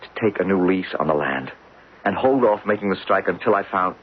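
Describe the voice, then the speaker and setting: An actor playing a desperate, obsessed geologist in an old radio drama, speaking with insistent intensity. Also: male voice, deep voice, expressive, brisk, slightly rough. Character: obsessed, desperate male geologist urging belief in his discovery. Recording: muffled, hissy old radio recording.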